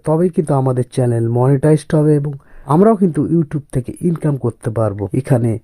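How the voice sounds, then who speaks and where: A man's voice: fairly high pitch, warm tone, no room sound. A man narrating a step-by-step screen tutorial as host, often repeating himself and addressing viewers as friends.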